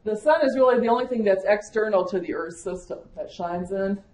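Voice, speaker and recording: A woman's voice: medium pitch, a little fast, darkish tone. A woman with a Wisconsin accent, giving a conversational conference lecture as an agricultural climatologist, with frequent fillers. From Michigan, USA. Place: conference room. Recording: echoey lecture room.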